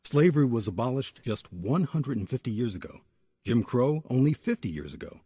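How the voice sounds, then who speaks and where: A man's voice: mid-range voice, very animated, fairly quick, warm tone, breathy edge, dry recording, clean studio sound. A man reading scripted documentary narration in a serious, emphatic manner. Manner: serious, emphatic list-making. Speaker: narrator and host.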